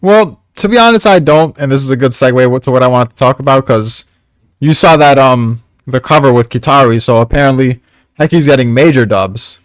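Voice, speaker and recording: Guy cohosting a casual chat, middling pitch, sweary and rambly, very dry close audio. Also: fairly quick, dry recording. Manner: profane, slangy, rambling. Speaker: co-host of a manga discussion.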